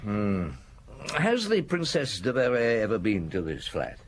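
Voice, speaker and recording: A man's voice: lowish voice, huge pitch swings, unhurried, full character voice. A male actor playing a shrewd chief inspector in a radio drama, probing and conversational, also narrating in the first person. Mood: pressing. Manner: probing, conversational, punctuated with questioning hums.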